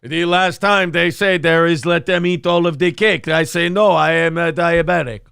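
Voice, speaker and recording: A man plays an angry protester with a mock French Canadian accent. The threats come in menacing broken English, in a creaky, dry-sounding voice for a comedy show. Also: fairly high pitch, steady tone, moderate pace, a bit bright, dry recording. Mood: mock menace.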